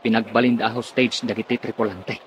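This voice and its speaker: A man's voice: fairly low pitch, fairly even intonation, fairly quick, muffled recording, background noise, lightly acted. An actor playing a seasoned, wary merchant seaman in a radio drama, talking earnestly as a comrade. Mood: grave, wary.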